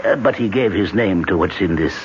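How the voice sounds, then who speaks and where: An actor playing a sly, bossy Irish gun-runner in a 1970s radio drama, with an Irish accent. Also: male voice, sits low, brisk, natural voice. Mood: sly, conspiratorial. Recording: dull, hissy old radio broadcast.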